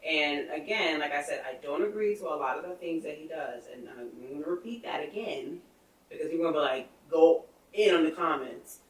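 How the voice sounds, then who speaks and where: A woman's voice: low voice, expressive, fairly quick, a little hiss or hum. Woman in her thirties, echoey and distant. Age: 30s. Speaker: podcast co-host. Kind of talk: casual opinion chat. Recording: echoey room, far from the mic.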